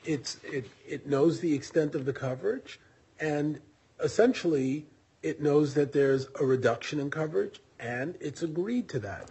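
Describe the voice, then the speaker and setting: A man questioning counsel as a judge in court, deliberate and probing. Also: a little high, mellow, husky. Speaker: appellate judge.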